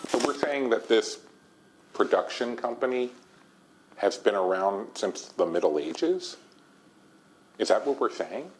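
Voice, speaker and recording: An actor playing a grave, measured male investigator in naturalistic game dialogue. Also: medium pitch, expressive. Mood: incredulous. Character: grave male investigator alarmed by hidden cult messages. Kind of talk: naturalistic recorded meeting dialogue. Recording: noisy meeting tape.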